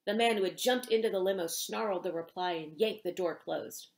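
A middle-aged woman, an author reading aloud in an expressive storytelling style in a small indoor room. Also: lowish voice, fairly quick, creaky. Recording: roomy home echo.

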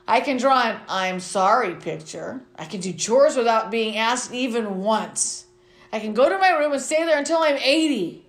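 Higher-voiced woman reading in an echoey room. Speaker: school vice principal reading to students. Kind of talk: children's picture book read-aloud. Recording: roomy, echoing sound.

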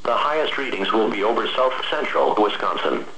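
A man's voice: on the low side, sing-song. Synthetic American male announcer on noisy radio. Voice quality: synthetic text-to-speech voice. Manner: formal, measured, impersonal. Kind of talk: scripted weather warning bulletin. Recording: noisy, echoey weather radio broadcast.

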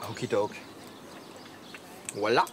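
An actor playing a laconic, laid-back young band drummer in a radio play, terse and deadpan. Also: male voice, lowish voice, slow pace, a little hiss or hum. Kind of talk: German radio play acting.

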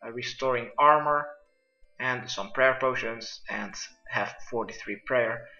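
Bright-voiced man guiding gamers, roomy sound. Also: medium pitch, a little slow. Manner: instructional, step-by-step. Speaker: gamer presenting a quest guide. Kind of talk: video game walkthrough commentary. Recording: muffled lo-fi roomy mic, music behind.

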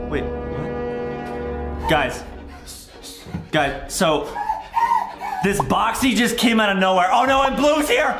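A young man with a high, animated voice hosts a gaming video for kids. He is excitable and theatrical, often shouting. He is recorded in an echoey room over music. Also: gravelly. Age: 20s. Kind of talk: exaggerated kids' gaming commentary. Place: small room at home, indoors. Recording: roomy echo with loud background music.

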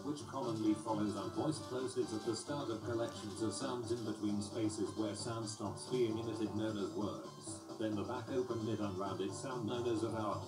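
A man with a fairly low voice reads jokes aloud in a flat, mock-formal delivery. He sounds roomy and set back from the mic. Loud music plays underneath the whole time. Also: far from the mic. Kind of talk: reading memes aloud. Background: loud background music.

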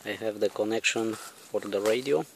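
A man giving a casual, hands-on demonstration in a halting, deliberate way, recorded outdoors on a handheld camera. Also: fairly low pitch, heavy background noise. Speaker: vlogger demonstrating electronics. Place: outdoors on a lawn. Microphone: handheld camera or phone, held by the speaker.

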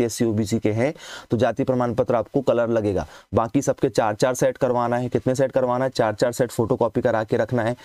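Mellow-voiced Indian man teaching students. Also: medium pitch, no room sound. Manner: repetitive, asks students questions then answers them. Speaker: coaching teacher. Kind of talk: explaining admission fees to students. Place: voice-over on scanned documents.

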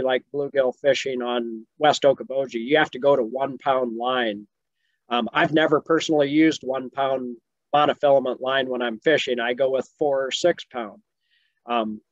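A man's voice: mid-range voice, no room sound. A man in his fifties with a Midwestern American accent, explaining fishing in a webinar Q&A, easygoing with many ums, from a home office on a video call. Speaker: fisheries expert answering questions. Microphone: video call webcam. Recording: muffled video call audio.

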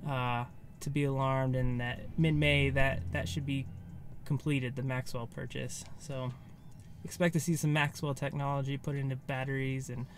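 A man's voice: mid-range voice, flat delivery, normal speed. An American man in his thirties giving a casual, off-the-cuff recap to camera from inside a car, loosely phrased as if thinking aloud. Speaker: vlogger. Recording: faint background ambient noise.

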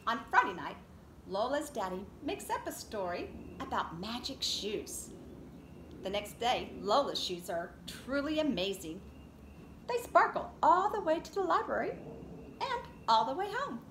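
A middle-aged woman speaks to small children as their teacher, in an expressive storytime style. Her voice sits fairly high and swings widely in pitch. It is recorded from a short distance in an echoey space. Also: measured pace. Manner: expressive, addressing young children. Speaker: preschool teacher reading aloud. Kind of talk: children's picture book read-aloud. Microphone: camera a short distance away. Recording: roomy echo, clear background noise.

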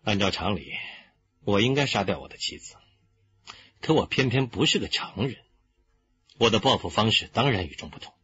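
An actor playing a young, arrogant, unrepentant killer confessing calmly in a radio crime drama. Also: male voice, on the low side, highs cut off. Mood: bitter, sardonic. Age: young adult. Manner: unrepentant, coldly deliberate.

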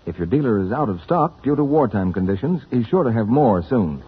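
A man's voice: medium pitch, sing-song, a little fast, breathy edge. A man announcing on 1940s radio, reading scripted introductions and advertising copy. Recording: muffled 1940s radio broadcast.

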